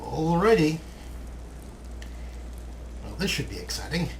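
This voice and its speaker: A man's voice: a little high, sing-song, slow pace, creaky, slight background noise, poor audio. A man giving theatrical, dramatic gameplay commentary as he hosts a let's play.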